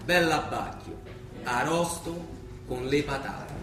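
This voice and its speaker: Music sits behind an echoey stage recording of a young Roman prisoner. He is cocky, brusque and mocking in a fairly high voice, in comic radio play style. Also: slow pace.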